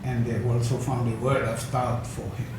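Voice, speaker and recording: An older man giving a conference talk, hesitant with many fillers and soft chuckles. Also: medium pitch, little pitch movement, normal speed. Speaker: academic speaker. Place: lecture hall. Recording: echoey hall, distant mic, noisy.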